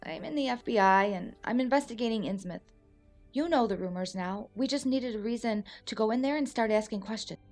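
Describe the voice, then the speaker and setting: A woman acting in a radio play as a concerned, steady federal agent, questioning and reassuring. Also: a little high, fairly quick, faint music behind. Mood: serious, confiding.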